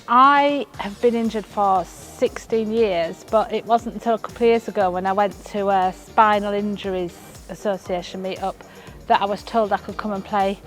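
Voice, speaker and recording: A woman talking casually in an interview as an amateur player. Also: medium pitch. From Yorkshire, England. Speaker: amateur wheelchair rugby player.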